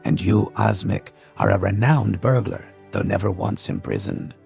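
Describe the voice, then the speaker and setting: A man voices a commanding adventurer leading monster hunters in a fantasy audiobook. His voice is extremely creaky and low, with wide swings in intonation. He sounds measured and authoritative, with faint music behind. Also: darkish tone. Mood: confident, appraising.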